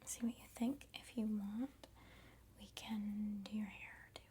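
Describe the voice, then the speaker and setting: A woman in her twenties doing an ASMR role-play as a friend, soft, unhurried, chatty and reassuring. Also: medium pitch, flat delivery, background noise. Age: 20s. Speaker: ASMR creator playing a friend doing the listener's makeup.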